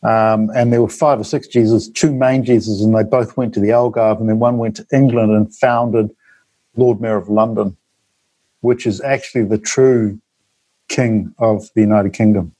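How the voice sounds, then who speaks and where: NZ-man in his fifties, interview guest, dark mellow tone, dry sound, rambling delivery. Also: lowish voice, fairly even intonation, moderate pace, dry recording, rough recording. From New Zealand. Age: 50s. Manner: rambling, many ums and tag questions. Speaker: guest author. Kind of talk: interview monologue.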